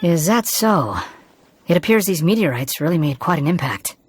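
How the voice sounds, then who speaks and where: A man voicing a polite, enigmatic young vagrant in a video game, smooth and faintly amused. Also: fairly high pitch, animated, moderate pace, slight background noise, natural voice. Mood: polite, composed. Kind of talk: video game character acting. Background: background music.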